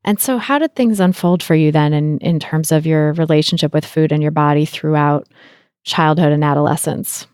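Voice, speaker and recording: A woman hosting a podcast interview, calm and affirming as she responds and asks questions. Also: deep voice, moderate pace, clean tone, no room sound, clean studio sound. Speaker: podcast host. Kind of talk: conversational interviewing.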